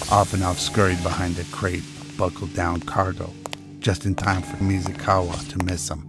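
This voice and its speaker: A man's voice: deep voice, flat delivery, normal speed, music in the background. A man narrating a radio drama in a scripted, dramatic storytelling style.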